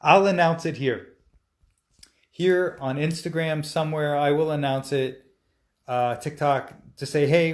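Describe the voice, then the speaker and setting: A man in his forties, a stop-motion animator, answering viewer questions in a relaxed, chatty way with frequent fillers and restarts. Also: on the high side. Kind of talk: casual live-stream Q&A, reading viewer comments aloud.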